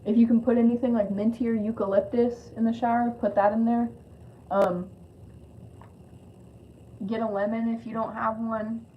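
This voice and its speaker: A woman's voice: fairly high pitch, little pitch movement, normal speed, pauses often. Lisping woman on a dull, noisy stream. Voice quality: lisp. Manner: informal, rambling asides with swearing. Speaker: spiritual card reader hosting a live stream. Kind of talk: casual live reading mixing read-aloud card text and chat. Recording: dull lo-fi audio with clear noise.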